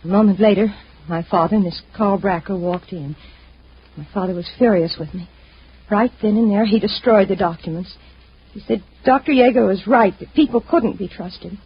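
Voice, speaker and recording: A woman's voice: mid-range voice, moderate pace, slightly rough. An actress playing an anxious, earnest young woman in distress, pleading and hesitant, in 1950s radio drama style. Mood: confiding, troubled. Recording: muffled vintage radio recording.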